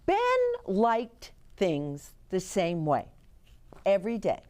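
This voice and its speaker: A woman reading picture books aloud to children in an expressive way, chatting to her young listeners as host. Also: on the low side, slow pace. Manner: expressive, explains words and chats to young listeners. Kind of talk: animated children's read-aloud with asides.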